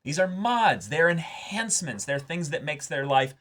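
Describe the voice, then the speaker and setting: Male reaction-show co-host. Lively, swooping delivery, bright tone, emphatic casual talk with repeated phrases. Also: a little high, normal speed. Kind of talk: casual discussion of a TV episode.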